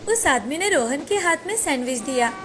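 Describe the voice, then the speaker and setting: High-voiced woman narrating a moral story. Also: animated, brisk, background noise. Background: faint background music.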